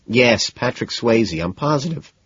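A man voicing a nervous, overeager well-off man who rushes and stammers while faking composure, in an audiobook. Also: mid-range voice, expressive, fairly bright tone, breathy edge. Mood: nervous, earnest. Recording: dull, lo-fi, roomy sound.

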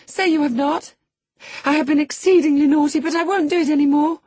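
A woman voicing a distraught young girl, pleading breathlessly in a high voice. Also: unhurried, a little mellow, slightly rough, dry recording.

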